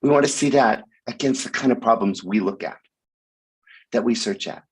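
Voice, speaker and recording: A man speaking hoarsely with a raspy voice while sick with the flu, giving a halting sponsor talk over a video call with many ums. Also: mid-range voice. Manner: halting, frequent ums, occasional cough. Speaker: sponsor speaker at hackathon opening. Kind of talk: online event speech.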